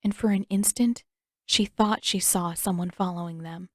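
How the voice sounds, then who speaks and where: A woman reading her own fanfiction aloud as a podfic, voicing each character's lines with changed pitch. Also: steady tone, normal speed, no room sound, clean studio sound. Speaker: podfic reader. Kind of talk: audiobook-style fanfiction reading.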